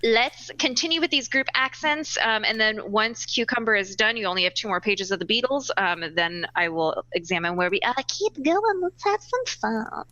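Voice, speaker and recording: A woman's voice: fairly high pitch, expressive, normal speed, few pauses, sharp tone. A woman casually hosting and organizing a live play reading, on a slightly dull recording. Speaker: host directing live readings.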